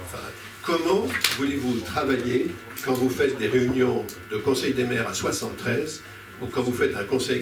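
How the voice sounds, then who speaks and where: A man speaks to a public meeting from some distance off the mic, in a room with plenty of echo. His delivery is impromptu and argumentative, with halting phrases that he often reworks as he goes. Clear background noise runs under his mid-pitched voice. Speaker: speaker on a citizens' panel at a public local-politics debate.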